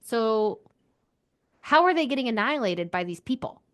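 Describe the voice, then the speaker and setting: A woman's voice: fairly high pitch, expressive, moderate pace. Opinionated woman chatting casually. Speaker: book club podcast co-host. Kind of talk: casual book discussion chat.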